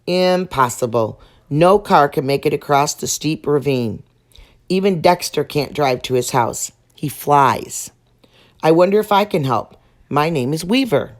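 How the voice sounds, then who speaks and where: A woman's voice: deep voice, animated, measured pace. A woman voicing a helpful, thoughtful female spider in a storybook, musing and matter-of-fact.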